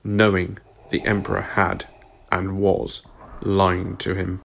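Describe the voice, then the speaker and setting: A man's voice: deep voice, monotone, slow, gravelly, a little hiss or hum. A man narrating a scripted audio drama, announcing scenes and cast. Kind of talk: scripted story narration and credits. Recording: loud music behind the voice.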